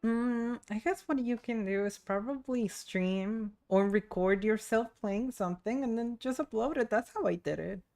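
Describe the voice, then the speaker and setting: A woman's voice: on the high side, moderate pace, gravelly, hardly any pauses, a little mellow. A woman chatting casually as she live-streams a video game. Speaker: streamer, VTuber. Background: game music.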